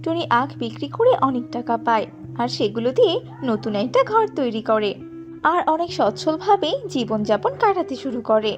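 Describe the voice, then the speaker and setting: Lady storyteller for a kids cartoon, high voice, bit muffled, music under it. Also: sing-song, moderate pace. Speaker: narrator. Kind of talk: scripted cartoon story narration. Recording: slightly dull audio with music behind.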